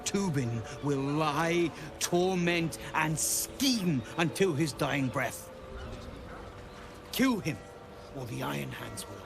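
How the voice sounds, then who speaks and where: A man's voice: a little high, animated, slow, vocal fry, over music, heavily acted. A man playing a bitter, vengeful gnome rebel leader in a fantasy game, menacing and declamatory. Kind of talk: cinematic fantasy game acting.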